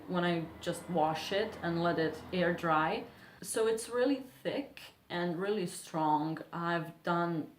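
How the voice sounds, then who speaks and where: Early-20s female beauty vlogger, Serbian accent, unhurried and self-deprecating, roomy sound. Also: on the low side. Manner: chatty, apologetic, self-deprecating. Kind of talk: casual chat to camera. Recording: echoey room.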